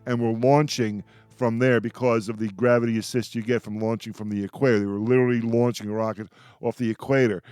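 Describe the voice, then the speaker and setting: Male roundtable host, medium pitch. Effusive fan talk, halting delivery with doubled words. Music in background. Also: little pitch movement, a little mellow, smooth, no room sound. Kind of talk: enthusiastic fan discussion.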